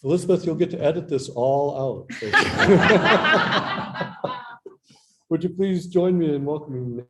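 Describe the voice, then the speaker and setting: A man's voice: a little high, lively intonation, slow, crowd noise. A man hosting a live event, interviewing and introducing a speaker in a jokey, self-deprecating way. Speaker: event host.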